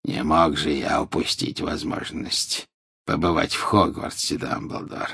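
Man doing a growly grating werewolf guy, low, slow and half whispered. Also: little pitch movement, creaky, sharp tone, husky, exaggerated voice. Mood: gloating. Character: savage male werewolf, grating and hungry.

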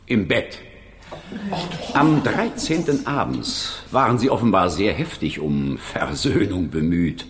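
An actor playing a stern, sarcastic, irritable presiding judge in a German radio crime play. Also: male voice, lowish voice, expressive, takes it slowly, background noise. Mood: sarcastic, probing.

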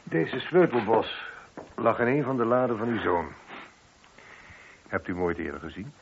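A man's voice: lowish voice, moderate pace, gravelly, background noise. A man acting a methodical police inspector in a Dutch radio play. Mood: businesslike. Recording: dull, muffled old radio recording.

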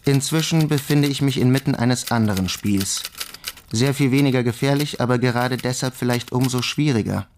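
An actor playing an earnest army lieutenant reading out his letter in a radio drama, measured and confiding. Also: medium pitch, natural voice. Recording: clearly noisy, clicking typewriter-like sounds.

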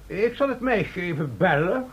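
An actor in an old radio drama playing a hospitable medieval mayor with a formal, courteous, insistent manner. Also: male voice, high-pitched, big pitch swings, normal speed, husky, full character voice. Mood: eager hospitality. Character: hospitable, effusive mayor of a fifteenth-century town. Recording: old radio recording, faint noise.

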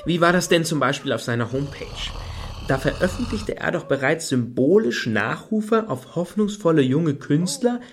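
Actor as a carping, animated male critic. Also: a little high, moderate pace, natural voice. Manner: emphatic, sardonic, long fault-finding sentences. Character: carping, indignant male critic among an artist's entourage. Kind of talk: satirical German radio play acting.

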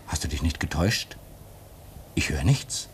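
Sardonischer Polarmann, stark schwankende Tonhöhe. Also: male voice, extremely low pitch, big pitch swings, a little slow, breathy edge, full character voice. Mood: doubtful. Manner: clipped, sarcastic, theatrical. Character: impatient, sardonic newer man at polar station. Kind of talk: German radio play acting.

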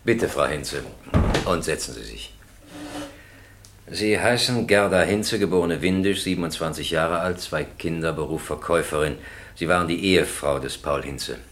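A man acting a formal, polite presiding judge who runs a trial in measured phrases, in a radio drama. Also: extremely low pitch, a bit bright, slight background noise. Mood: formal, businesslike. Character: courteous, orderly male presiding judge running a trial.

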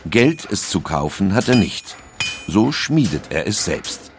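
A man narrating a documentary in a calm, measured storytelling manner. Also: low voice, background noise.

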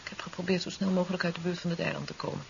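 A woman's voice: lowish voice, steady tone, rapid-fire, gravelly. Tender, weary young woman. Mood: weary, recounting. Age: young adult. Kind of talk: 1970s radio drama acting. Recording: dull, hissy 1970s radio tape.

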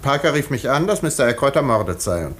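Insistent doctor, roomy sound. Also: male voice, medium pitch, big pitch swings, fast, fairly bright tone. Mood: grave, clipped. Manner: clipped, insistent, commanding. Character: urgent, educated male English country doctor. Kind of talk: 1950s German radio play acting. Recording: roomy, hissy 1950s radio recording.

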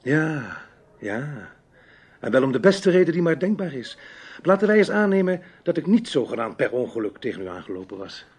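An actor playing a sly, menacing gentleman who speaks with theatrical, teasing courtesy in a Dutch radio drama. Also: a little high, big pitch swings, moderate pace, breathy edge. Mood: teasing, ominous. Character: sly, menacing foreign gentleman lurking in the dark.

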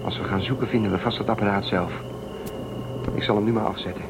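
Calm protective space traveler, lowish voice, reasoning tone, noisy tape hiss. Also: male voice, quick pace, creaky.

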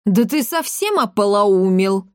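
A woman's voice: mid-range voice, animated, a little slow, darkish tone, smooth, no room sound, clean studio sound, natural voice. A woman voicing a tiny old mother in a storybook, domineering, scolding and theatrically outraged. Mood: shocked, possessive.

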